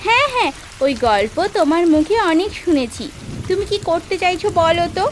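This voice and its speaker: A woman's voice: extremely high pitch, sing-song, a little fast. A woman voicing a fretful, scolding teenage village bride in a cartoon, fussing and questioning. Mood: doubtful. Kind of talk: cartoon voice acting. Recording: clear noise of rain behind.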